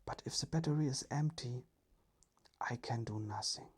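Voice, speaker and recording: A storyteller voicing a polite, innocent little robot in a breathy, whispered ASMR storybook reading. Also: mid-range voice, normal speed, a little mellow, close to an everyday voice. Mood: matter-of-fact.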